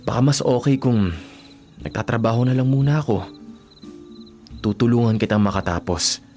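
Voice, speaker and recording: A man playing a devoted young working-student boyfriend in a Filipino radio drama, speaking gently, earnestly and reassuringly. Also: lowish voice, close to an everyday voice. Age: young adult.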